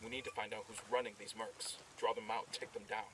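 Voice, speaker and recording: An actor playing a blunt special forces squad member in a video game cutscene. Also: male voice, fairly low pitch, level tone, brisk, distant mic, background noise, natural voice. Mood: tense, resolute.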